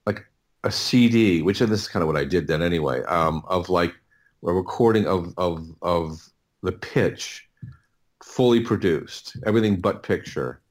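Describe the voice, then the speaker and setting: A middle-aged man with a fairly low voice chats as an interview guest. He tells his story in a casual, rambling way, doubling back on words while he gathers his thoughts. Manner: halting, rambling, repeats words as he thinks. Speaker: podcast guest, audio producer.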